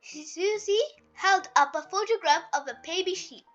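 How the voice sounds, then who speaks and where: A woman's voice: very high-pitched, unhurried, fairly bright tone, soft background music. A woman reading a children's story aloud as narrator, on a slightly dull recording. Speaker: storybook reader.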